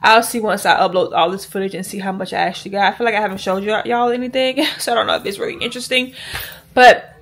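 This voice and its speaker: A woman's voice: medium pitch, steady tone, quick pace, fairly bright tone. A young woman, chatting casually to her viewers in a rambling way while holding the camera at arm's length. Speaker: vlogger. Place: home bedroom and a store. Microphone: handheld camera at arm's length.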